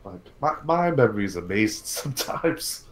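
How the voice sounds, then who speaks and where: A man hosting a casual podcast livestream about films and games, talking haltingly and repeating words as he thinks aloud. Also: on the low side, big pitch swings, noisy background.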